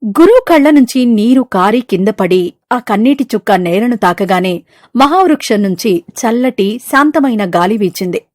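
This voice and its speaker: Woman narrator, high pitch, scripted children's storytelling, dry close recording. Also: expressive, moderate pace, a little mellow, no room sound.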